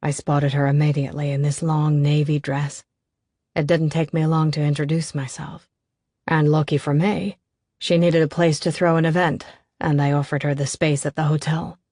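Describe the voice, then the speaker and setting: A woman voicing a reserved young businessman who speaks in a measured, matter-of-fact way. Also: low voice, flat delivery, darkish tone, dry recording, studio quality.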